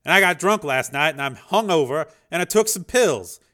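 Narrator putting on a nervous male scientist: stutters, bright, higher and louder than the narration. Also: fairly quick, close to an everyday voice. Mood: manic. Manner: stammering, frantic, raised voice. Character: anxious young male scientist, stammering and lying.

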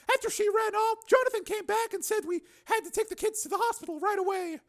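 A man voicing a grieving young woman who speaks in a high, strained, tearful way. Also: fast, vocal fry. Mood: grief-stricken.

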